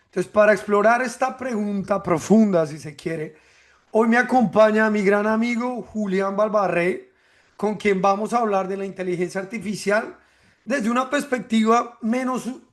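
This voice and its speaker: A man from hosts a podcast in a high, light voice. He talks in a measured, reflective way, often ending a thought with a tag question. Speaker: podcast host. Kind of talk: reflective podcast monologue and interview.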